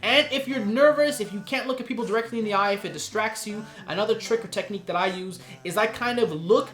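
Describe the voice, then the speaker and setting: A man's voice: sits high, fast, fairly bright tone, reverberant, faint music behind. A man hosting a recorded course, explaining performance techniques in an earnest, conversational teaching manner with frequent asides. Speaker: course host and instructor.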